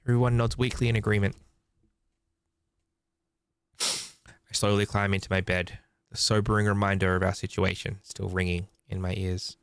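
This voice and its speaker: A man's voice: low-pitched, level tone, normal speed, pauses often, clean tone, dry recording, clean studio sound. A man reading a visual novel aloud as a streamer, in dramatic narration. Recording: music under the voice. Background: prominent music.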